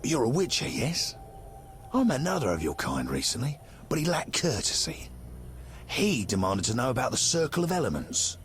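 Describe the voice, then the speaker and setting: Folksy old grandpa in a video game, really animated up and down, chatty, friendly, music behind. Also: male voice, medium pitch, moderate pace. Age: older. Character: friendly eccentric old man, hospitable village grandpa.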